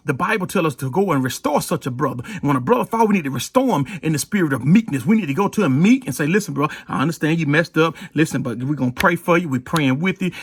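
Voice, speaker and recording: A male pastor delivers an informal, exhortative sermon. His voice sits fairly high and has a husky grain. He speaks in a folksy way, repeating phrases for emphasis, and the sound is close and dry with little room in it. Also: no echo.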